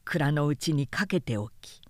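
Woman reading a classic novel, measured slow pace, lots of melody in the voice, storyteller narration. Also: low-pitched, animated, no room sound, studio quality. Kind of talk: scripted literary narration.

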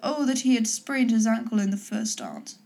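Woman reading an exasperated older husband, curt, mellow voice. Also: fairly high pitch, big pitch swings, normal speed, gravelly, exaggerated voice. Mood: impatient. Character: impatient, dry-witted older husband.

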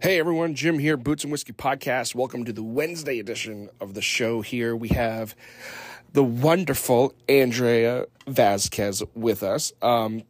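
A man hosting a casual podcast, hoarse and raspy from a head cold, rambling loosely with lots of fillers and casual swearing. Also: medium pitch, unhurried, no room sound. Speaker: podcast host. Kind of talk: casual off-the-cuff podcast chat.

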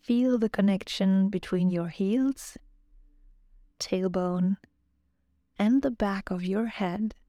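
A woman narrating a guided relaxation meditation in a calm, soothing, measured way, as a yoga nidra guide. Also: mid-range voice, no room sound, clean studio sound. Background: background music.

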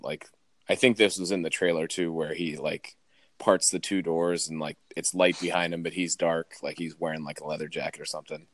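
A man's voice: deep voice, a bit bright, dry recording. A man appearing as a podcast guest, rambling casually and filling his speech with "like". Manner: rambling, lots of "like".

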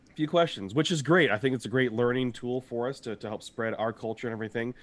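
A young man co-hosting a podcast, his voice a little raspy from shouting, talking in an upbeat, rambling way and laughing often. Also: mid-range voice, fast. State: slightly hoarse from shouting. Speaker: podcast co-host. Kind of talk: casual podcast chat.

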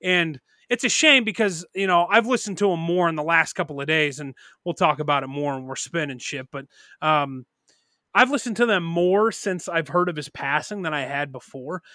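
Bright-voiced, profane podcast bro. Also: male voice, a little high, moderate pace, no echo, clean studio sound. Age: early 30s. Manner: profane, rambling, animated. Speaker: podcast co-host. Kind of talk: casual podcast chat.

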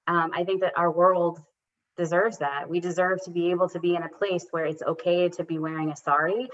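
A woman coach speaking as a podcast guest, earnest and reflective, with many fillers and restarts. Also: fairly low pitch. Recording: dull lo-fi recording.

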